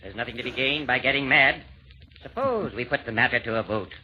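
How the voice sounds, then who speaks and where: A man's voice: mid-range voice, animated, a little fast, bright, forceful tone. A man playing a suave, commanding devil who chairs a council of fiends in formal, oratorical rhyme. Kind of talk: 1930s radio verse comedy acting. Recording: dull, noisy old radio broadcast.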